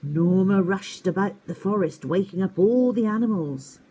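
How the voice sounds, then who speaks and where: A woman's voice: mid-range voice, animated, moderate pace, warm tone, breathy edge, low-quality recording. A woman narrating a children's story expressively, as a teacher does at story time.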